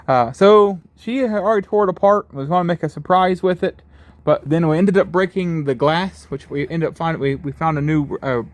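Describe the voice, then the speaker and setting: A man with a folksy, informal manner hosting a casual how-to restoration video. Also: a little high. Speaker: host of restoration vlog.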